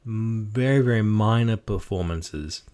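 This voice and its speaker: A man's voice: on the low side, measured pace, darkish tone, smooth. A man giving a casual tutorial on how to perform characters as a game master. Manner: demonstrative, switches between explanation and voices. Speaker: game master teaching technique.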